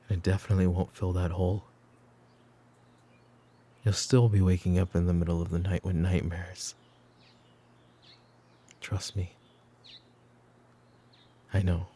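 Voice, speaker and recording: A man playing a weary middle-aged mafia boss in a roleplay audio drama, stern but protective, speaking in a measured, commanding way. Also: mellow. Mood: weary, reflective. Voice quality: low, gravelly.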